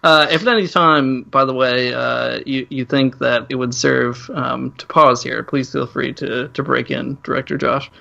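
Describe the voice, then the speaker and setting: A man's voice: mid-range voice, fairly even intonation, moderate pace, vocal fry, few pauses, pushed and bright, highs cut off. A man co-hosting a podcast, talking casually and reading aloud in a joking way. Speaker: podcast co-host. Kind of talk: casual chat and mock-dramatic plot reading.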